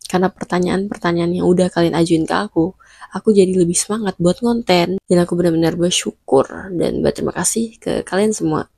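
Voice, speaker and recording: A woman's voice: fairly low pitch, dark tone, breathy edge, no room sound, studio quality. A young woman with a stuffy nose from a cold, giving chatty advice in a casual vlog, slipping in English phrases and playfully scolding her viewers. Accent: Indonesian. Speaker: vlogger.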